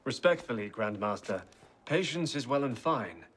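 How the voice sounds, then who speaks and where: Husky, cautious conspirator. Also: male voice, mid-range voice, lively intonation, normal speed, a bit bright. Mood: uneasy. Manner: formal, cautious. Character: anxious, deferential male conspirator. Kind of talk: video game cutscene acting.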